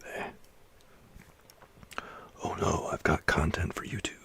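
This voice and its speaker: A man chatting with his livestream viewers in a soft whisper, rambling and chuckling as he goes. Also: slow pace.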